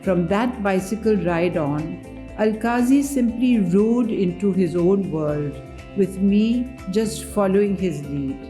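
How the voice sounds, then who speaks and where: Mellow woman giving a measured literary reading. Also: mid-range voice, faint music behind. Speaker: reader at a book event. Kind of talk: literary dramatic reading of a memoir.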